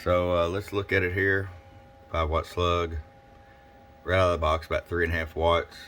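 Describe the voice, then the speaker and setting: A man's voice: low-pitched, flat delivery, moderate pace, fairly bright tone, slight background noise. A plain-spoken, folksy man giving a casual, off-the-cuff hands-on product demo at a workbench as a shop technician. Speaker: radio shop technician and presenter. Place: indoors at a radio workbench.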